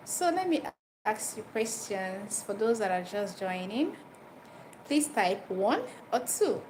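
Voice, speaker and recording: A woman with a Nigerian accent hosting an informal livestream, repeating her phrases and putting questions directly to listeners as she explains and advises. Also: medium pitch. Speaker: livestream host giving dental health advice. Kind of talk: informal livestream talk, chatting with viewers. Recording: noisy live stream with background ambience.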